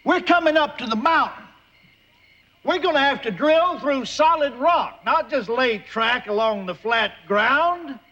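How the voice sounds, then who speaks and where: A man's voice: extremely high pitch, expressive, sharp tone, full character voice. An actor playing a confident, mocking railroad company man, emphatic and persuasive, in a television folk-tale drama, on slightly dull videotape. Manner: emphatic, deliberate.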